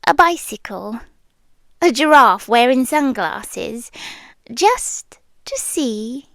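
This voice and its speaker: A woman's voice: on the high side, animated, fairly bright tone, dry recording, heavily acted. A British woman playing a lonely, obsessive, affectionate stalker girl in an intimate ASMR roleplay, speaking tenderly and breathily, slipping into whispers. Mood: playful, fond. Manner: slow, breathy, tender, with pauses and sighs. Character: lonely obsessive bodiless young female stalker, possessively affectionate. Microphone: close microphone.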